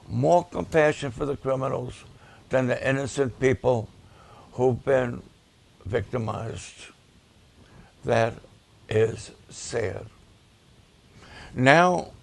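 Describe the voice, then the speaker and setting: Slow gravelly pastor guy, raspy voice, repeats stuff for emphasis. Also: medium pitch. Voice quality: raspy at times. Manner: slow, deliberate, with emphatic repetitions. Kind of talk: preaching and promoting ministry videos to a livestream audience.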